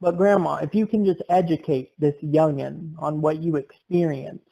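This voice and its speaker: Young male podcast host, tall-tale storyteller, a bit high, mellow tone. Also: fairly even intonation, moderate pace, vocal fry, dull audio. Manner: exaggerated tall-tale telling. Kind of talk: comic storytelling.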